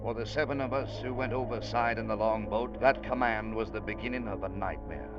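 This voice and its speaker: A man's voice: lowish voice, fairly quick, natural voice. An actor playing an earnest ship's officer, telling his story in dramatic 1940s radio drama style. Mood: grave, dramatic. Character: earnest ship's officer recounting a harrowing sea rescue. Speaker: first-person narrator. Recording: dull vintage radio recording with loud music.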